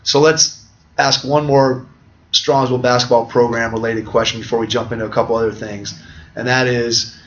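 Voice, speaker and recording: A man's voice: medium pitch, little pitch movement, moderate pace, a bit bright. A middle-aged man hosting a podcast interview, talking reflectively in long, winding sentences. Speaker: podcast host. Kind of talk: conversational interview. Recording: dull lo-fi audio.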